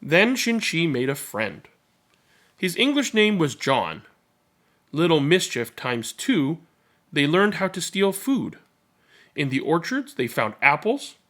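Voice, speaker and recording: A man's voice: on the high side, big pitch swings, slow pace, fairly bright tone. Man reading aloud. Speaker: storybook reader and channel host. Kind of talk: children's read-aloud narration with casual commentary.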